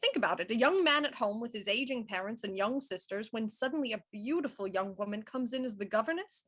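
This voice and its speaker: A woman playing a shrewd, self-assured Victorian police inspector, explaining deliberately and theatrically in a murder mystery audio drama. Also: fairly high pitch, animated, a bit bright. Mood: confident deduction.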